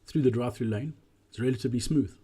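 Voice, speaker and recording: A man narrating a walkthrough presentation in a measured, explanatory way. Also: mid-range voice, warm tone.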